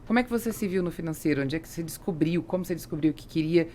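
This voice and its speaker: Casting: female Brazilian podcast host, somewhat low-pitched, conversational interviewer for business talk. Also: very fast, mellow, slight background noise.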